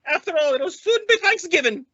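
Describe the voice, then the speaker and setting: A woman's voice: very high voice, animated, fairly quick, pushed and bright, no echo, exaggerated voice. A woman playing a feisty, vain, easily offended landlady with a blustering manner in a radio comedy. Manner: blustering, indignant, swooping. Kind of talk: old-time radio comedy acting. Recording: dull lo-fi recording.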